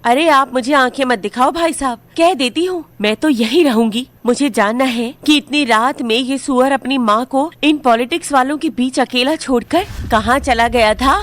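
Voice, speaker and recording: Actress as an indignant Indian mother, high voice, blunt, theatrical, nosy, middle-aged, audio drama. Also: moderate pace, hardly any pauses, a bit bright. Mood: defiant.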